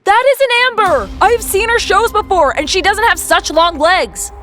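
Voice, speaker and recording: Woman acting a very high-pitched, indignant young-sounding spectator. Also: lively intonation, normal speed, fairly bright tone, faint music behind. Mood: accusing. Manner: exclaiming, accusatory. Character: indignant, suspicious woman in a fashion show audience. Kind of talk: dramatised audio story acting.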